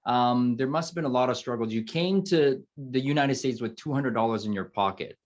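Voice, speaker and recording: A man hosting an interview, effusive and quick to react with "wow" and praise. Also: medium pitch, highs cut off. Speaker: host.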